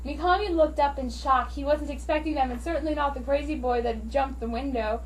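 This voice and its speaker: High-pitched woman, dramatic storytelling, amateur reader, faint background noise. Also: a little fast, distant mic, rough recording. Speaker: amateur reader of fan fiction.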